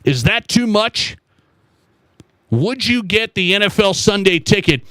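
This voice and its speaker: A man hosting a sports talk radio show in an animated, conversational way, throwing questions at listeners and repeating phrases for emphasis. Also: sits high, measured pace, no echo.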